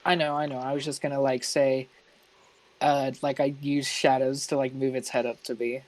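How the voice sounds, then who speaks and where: A man chatting casually as a tabletop game player, hesitant and halting. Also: mid-range voice, little pitch movement, no echo.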